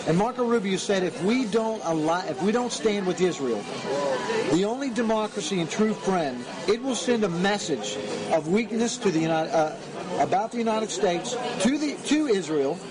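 Loud, preachy male host with a fairly high voice, recorded amid a crowded cafe. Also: expressive, moderate pace. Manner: fervent, preachy, urging listeners. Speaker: talk show host. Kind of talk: opinionated political commentary. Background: cafe crowd chatter.

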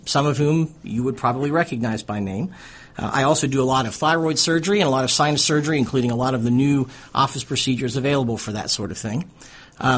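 A middle-aged man with an American accent and a husky, slightly rough voice at a medium pitch. He co-hosts a radio talk show as a physician, speaking in fluent, articulate, conversational sentences. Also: a bit bright. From Pittsburgh, USA.

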